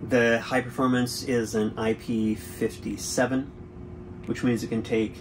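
An easygoing man in his forties talks through a product comparison from inside a boat cabin, picked up by a camera a short way off. His voice sits at an ordinary middle pitch, and he explains things loosely with plenty of fillers. A clear bed of background noise runs under him. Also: flat delivery, measured pace. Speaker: boating channel host. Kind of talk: casual product review.

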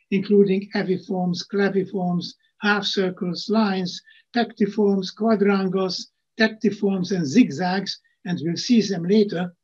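A man's voice: high voice, darkish tone, husky. An older man giving a lecture from home over a video call, reading his slides and adding plain asides. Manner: reads slide text, adds plain asides. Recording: muffled lo-fi video call audio.

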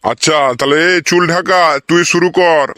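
An effusive cartoon schoolmaster, acted by a man, with a fairly high, bright voice in a dry recording. He is easily impressed and repeats himself emphatically, in village comedy style. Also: fairly even intonation, normal speed, dry recording. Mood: instructing. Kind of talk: comic cartoon skit dialogue.

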